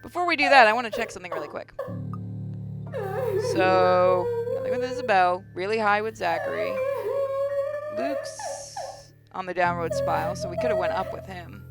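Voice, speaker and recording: A woman's voice: mid-range voice, huge pitch swings, moderate pace, pauses often. A woman hosting a gaming video, reading a visual novel aloud expressively, with casual asides. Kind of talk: dramatic first-person story reading with casual asides. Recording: clear game music behind the voice. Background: game music.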